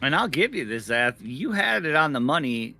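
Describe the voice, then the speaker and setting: A man calls a live esports match in a high, very lively voice. He is emphatic and repeats phrases to drive them home. The tone is bright and cutting. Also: normal speed, gravelly. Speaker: esports co-commentator. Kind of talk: live game commentary.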